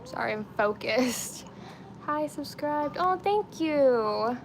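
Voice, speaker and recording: Higher-pitched young woman chatting over background noise. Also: animated, slow. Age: twenty-nine. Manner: informal, slangy, talking to viewers. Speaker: beauty and lifestyle live streamer. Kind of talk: casual chatty get-ready-with-me livestream.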